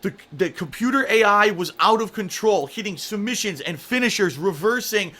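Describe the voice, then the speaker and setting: A man with a high, bright, strained voice talks up his own video with excited hype. His voice is audibly hoarse. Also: moderate pace. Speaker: gaming video creator. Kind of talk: hyped video update.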